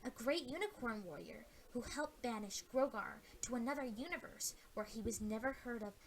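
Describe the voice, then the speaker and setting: A woman's voice: fairly high pitch, lively intonation, moderate pace, a little hiss or hum, natural voice. A woman voicing a dutiful young female pony princess in an earnest, emotional way.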